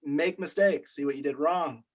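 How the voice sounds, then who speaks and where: Male, slightly high voice, conversational discussion leader explaining things informally to a group. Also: moderate pace, a crowd in the background.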